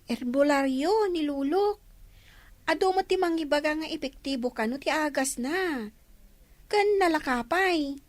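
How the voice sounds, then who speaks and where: A woman's voice: high voice, unhurried, pauses often, no room sound. A woman with an Ilocano accent playing a chatty, child-like villager in a radio comedy drama.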